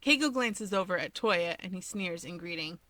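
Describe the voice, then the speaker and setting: A woman reading scripted story narration in an expressive manner. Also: lowish voice, moderate pace, no room sound. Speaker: fan fiction reader.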